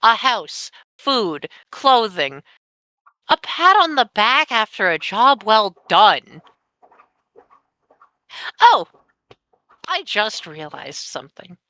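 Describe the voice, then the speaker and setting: A nineteen-year-old woman telling a painful personal story on a podcast, candid and sweary with heavy pauses. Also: medium pitch, sing-song, pushed and bright, no room sound, rough recording. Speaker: podcast guest.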